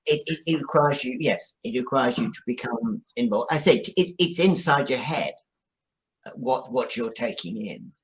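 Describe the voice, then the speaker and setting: A man giving reflective interview answers as a guest, explaining things in a thoughtful, halting way. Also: medium pitch, animated, poor audio. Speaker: guest, drama writer.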